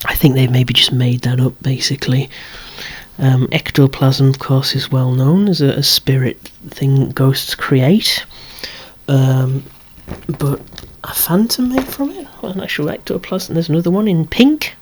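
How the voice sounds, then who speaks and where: Casting: hushed, breathy ASMR whisperer, a chatty collector showing off toys with wry, rambling asides. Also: mid-range voice, measured pace. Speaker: toy collector presenting own collection. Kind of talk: whispered ASMR show-and-tell of a toy collection.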